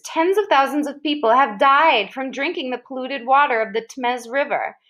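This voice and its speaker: A woman with a fairly high voice reads aloud in an expressive way. She stresses and stretches vivid words, as a teacher would when presenting an article to listeners. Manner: expressive, emphatic, drawing out key descriptive words. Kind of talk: expressive read-aloud of an informational article with brief asides.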